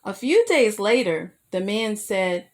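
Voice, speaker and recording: A woman reading a children's picture book aloud as a storyteller, expressive and careful, with asides to her young listeners. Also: mid-range voice, slow pace, slight background noise. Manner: addresses young listeners with simple questions.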